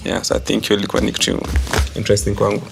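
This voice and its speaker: Low, gravelly Kenyan man, fairly even. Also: racing, over music. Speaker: workshop participant giving feedback. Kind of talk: casual discussion mixing English and Swahili. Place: in-person group table read. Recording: faint background room noise.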